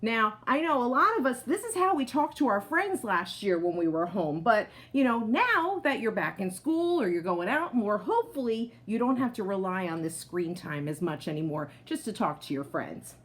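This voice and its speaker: A woman's voice: medium pitch, very animated, normal speed, hardly any pauses. A woman speaking like a teacher at story time, reading aloud to children and chatting in explanatory asides, often checking in with her listeners.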